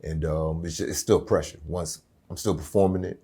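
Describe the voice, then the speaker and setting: A raspy-voiced rapper from Virginia in his thirties, talking casually and with drive as an interview guest. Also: low voice, a little fast. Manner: aggressive, energetic delivery.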